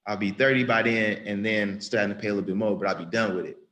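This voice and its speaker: A man of about thirty speaks in a slightly low voice, sharing an unscripted church testimony. He talks earnestly and loosely, circling back and repeating phrases as he goes. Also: steady tone, quick pace, a bit bright. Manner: earnest, rambling, with frequent restarts and repetition. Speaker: church member giving testimony. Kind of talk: spontaneous spoken testimony.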